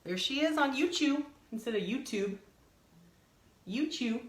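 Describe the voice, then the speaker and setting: A woman reading a picture book aloud to young children, expressively, pausing to add simple explanatory asides. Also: high-pitched, animated, echoey room. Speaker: storytime reader.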